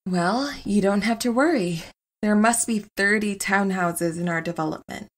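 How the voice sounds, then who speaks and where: An actress playing a nonchalant, teasing wife who is secretly a superstrong heroine, in an audio comedy drama. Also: female voice, medium pitch, normal speed, close to an everyday voice.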